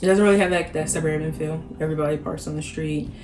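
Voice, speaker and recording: Low-voiced woman, thoughtful and hedging, in an echoey room with music under. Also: steady tone, fast talker. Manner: thoughtful, hedging with frequent fillers. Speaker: Camden resident interviewee. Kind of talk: documentary interview.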